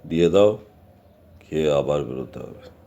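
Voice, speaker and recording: A man playing a middle-aged village father in an audio drama, speaking in a measured, fatherly, authoritative manner. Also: very deep voice, expressive, warm tone, lo-fi sound.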